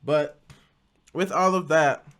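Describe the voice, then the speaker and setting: Relaxed young man walking through his music setup. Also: fairly high pitch, slow. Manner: relaxed, self-deprecating, stumbling restarts. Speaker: home music producer. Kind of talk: casual tutorial talk.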